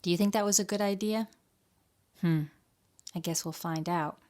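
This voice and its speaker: A woman's voice: fairly low pitch, steady tone, normal speed, a little mellow. A woman reading a picture book for a library storytime, explaining and asking questions as if to young children.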